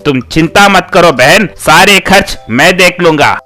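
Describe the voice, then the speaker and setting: A man's voice: high-pitched, expressive, measured pace, sharp tone, soft background music, full character voice. A man voicing a jealous, two-faced friend in a story, smug beneath false sympathy. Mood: falsely sympathetic. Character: jealous, two-faced male friend, scheming auto driver.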